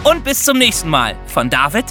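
A man's voice: a little high, moderate pace, soft background music, heavily acted. An actor playing a clever, matter-of-fact boy who explains things to his friends in a scripted podcast. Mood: lively, wrapping up. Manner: explanatory, lively.

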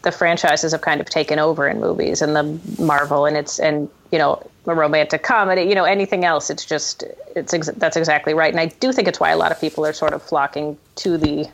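A woman's voice: low voice, fairly quick, vocal fry, few pauses, slight background noise. A woman speaking as a guest screenwriter in a casual interview, thinking aloud with frequent restarts. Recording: dull, lo-fi audio.

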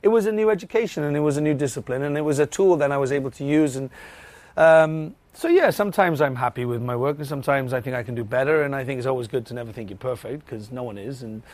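A man's voice: medium pitch, quick pace, darkish tone, dry recording. A man with a Welsh accent and a big tenor voice, chatting animatedly as a guest in a podcast interview, laughing often and restarting his sentences. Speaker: actor guest.

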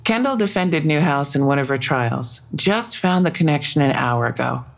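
Creaky-voiced, no-nonsense detective. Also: female voice, low voice, lively intonation, normal speed. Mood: mildly impatient. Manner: serious, businesslike. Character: no-nonsense police detective, serious and probing. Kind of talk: full-cast audio drama acting.

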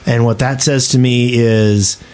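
A man's voice: medium pitch, steady tone, measured pace, a little mellow, smooth. A man co-hosting a podcast, talking casually and speculatively in an informal group discussion. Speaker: podcast co-host. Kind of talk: casual speculative discussion of a video game update.

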